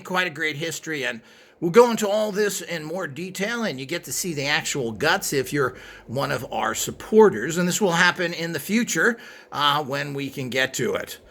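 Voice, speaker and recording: A man's voice: a little high, creaky, fairly bright tone. A man presenting an informal show-and-tell in a hesitant, rambling way, recorded indoors behind a handheld camera.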